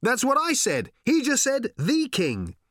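A man voicing a bewildered, exasperated man in a story, shouting with strain to be heard. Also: extremely high pitch, huge pitch swings, slow, dry recording, clean studio sound, pitched above the performer's own voice, heavily acted.

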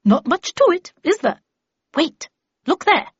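A woman voicing an imperious, brisk older lady mentor in an audiobook, with clipped, commanding and pompous delivery. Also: extremely high pitch, big pitch swings, no echo, lo-fi sound, voice pushed up, heavily acted. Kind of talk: audiobook character dialogue.

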